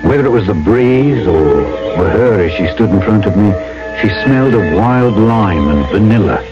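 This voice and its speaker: A man's voice: lowish voice, lively intonation, normal speed, lightly acted. An actor playing a plainspoken, wry trader who narrates his tale in a radio drama. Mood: reflective. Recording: dull old radio recording. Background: music.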